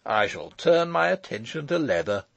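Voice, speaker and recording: A man voicing a brisk, teasing gentleman lawyer in an audiobook story, talking in an eager, emphatic, breezy way. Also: mid-range voice, sing-song, dry recording, pitched above the performer's own voice. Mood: brisk, decisive.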